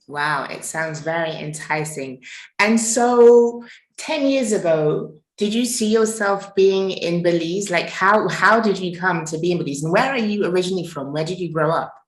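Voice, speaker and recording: A woman's voice: fairly low pitch, moderate pace, roomy sound, poor audio. A woman hosting an interview over a video call, effusive and admiring as she asks her questions. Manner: effusive, admiring, repeats praise. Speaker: interviewer, host.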